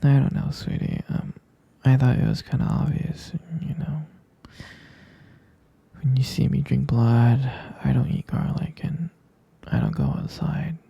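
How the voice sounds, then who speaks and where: A man's voice: medium pitch, sing-song, dark tone, no room sound, dull audio, heavily acted. A man playing a tender, sleepy half-vampire boyfriend in an intimate ASMR roleplay, murmuring and whispering hesitantly with affectionate repetition. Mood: gentle, explaining. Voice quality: breathy, gravelly whisper and murmur. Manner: slow, hesitant, repeating phrases, affectionate.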